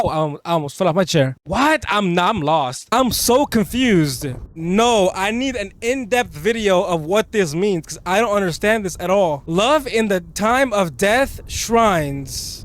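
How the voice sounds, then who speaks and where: Higher-pitched man doing expressive gaming commentary. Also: no room sound. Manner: expressive, slangy, incredulous reactions. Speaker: gaming video creator. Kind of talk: let's play commentary and reading game text aloud.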